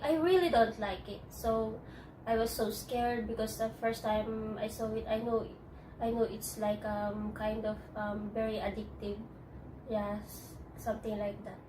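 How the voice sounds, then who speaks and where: A Filipino woman with a Tagalog-native accent, speaking English in a halting, hesitant way with many restarts in a personal interview. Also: fairly high pitch, noisy background. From Philippines. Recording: echoey room, distant mic.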